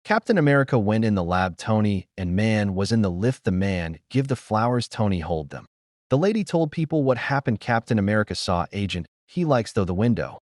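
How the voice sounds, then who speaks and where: A man's voice: fairly low pitch, sing-song, brisk, darkish tone, dry recording, studio quality. A man retelling a story as an amateur reviewer, in short, choppy, loosely worded sentences. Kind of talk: simple spoken retelling of a film's plot.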